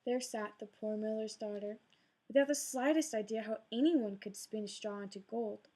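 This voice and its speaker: A woman narrating a fairy tale in an expressive, gently dramatic read-aloud style. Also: a little high, normal speed. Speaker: storyteller.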